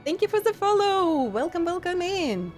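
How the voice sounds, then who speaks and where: High-voiced, giggly woman streamer. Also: very animated, darkish tone, soft background music. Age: young adult. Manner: giggly, halting with many pauses and restarts. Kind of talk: casual live-stream chat with viewers.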